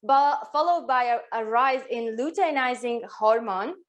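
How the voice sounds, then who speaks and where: A woman's voice: a little high, unhurried. A woman with a Latvian accent co-leading a live online workshop, chatty and often laughing as she asks the audience questions. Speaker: workshop co-host.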